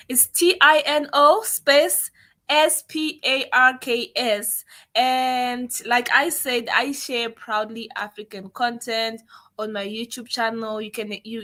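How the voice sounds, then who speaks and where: A woman's voice: on the high side, pushed and bright. A young Zimbabwean woman with a Zimbabwean accent, a native Shona speaker, speaking earnestly with conviction as a guest on a livestream interview. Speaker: guest content creator.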